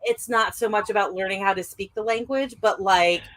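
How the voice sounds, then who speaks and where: A woman's voice: mid-range voice, moderate pace, fairly bright tone. A woman chatting casually on a podcast, with lots of "like" fillers. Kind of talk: casual chat.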